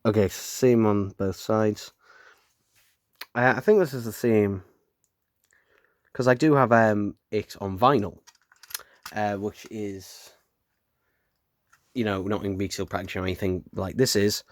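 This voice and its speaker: Croaky British man unboxing a cassette. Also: fairly low pitch, fairly even intonation, unhurried, pauses often, no room sound, studio quality. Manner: rambling, filler-heavy, self-correcting. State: croaky from hay fever. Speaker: unboxing vlogger. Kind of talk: casual unboxing chat. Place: room at home with window open.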